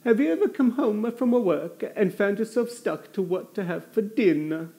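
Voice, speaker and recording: A man's voice: high voice, lively intonation, normal speed, mellow. Showy male TV cook. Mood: showy, insistent. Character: excitable male television cook hosting a show. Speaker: TV cooking show host. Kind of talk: TV cookery presenting.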